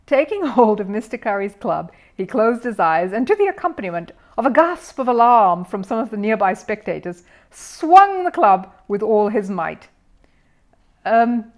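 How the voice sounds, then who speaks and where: A woman narrating a children's storybook as a scripted reading, in the role of storyteller. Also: mid-range voice. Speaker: storyteller, audiobook reader.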